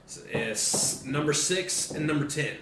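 A man's voice: fairly high pitch, sing-song, takes it slowly. A young American man co-hosting a beer tasting, chatting casually and informally with plenty of swearing. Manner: informal, profane. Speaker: co-host of a beer tasting show. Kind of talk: casual chat. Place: small kitchen at a table, indoors. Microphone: camera mic across the table. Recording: echoey room, background hiss.

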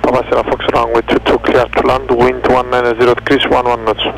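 A man working as an air traffic controller, giving clipped clearances over aviation radio. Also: mid-range voice, completely flat delivery, fast talker. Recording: radio transmission with background hum.

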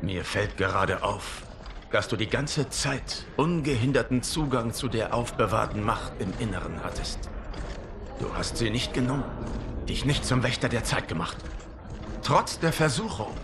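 An actor playing a noble, earnest warrior god and protector in video game dialogue, formal and dignified. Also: male voice, medium pitch, expressive, normal speed, noisy background, lightly acted. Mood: earnest, grateful. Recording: music behind the voice.

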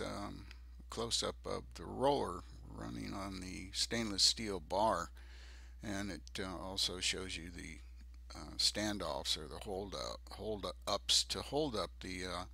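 An older man narrating a DIY project video off the cuff, halting and hesitant, with many ums. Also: on the low side, creaky, bright, forceful tone, no room sound. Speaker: DIY presenter.

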